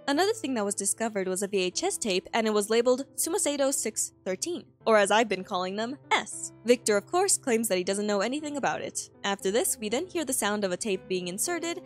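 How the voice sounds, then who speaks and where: Female video essay host, higher register, informal horror recap delivery over a music bed. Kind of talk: scripted recap narration with casual asides. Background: piano and eerie background music.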